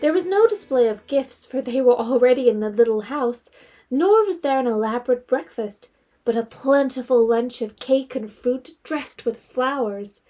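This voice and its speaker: A woman's voice: fairly high pitch, lively intonation, normal speed, dark tone. A woman reading scripted literary narration for an audio drama.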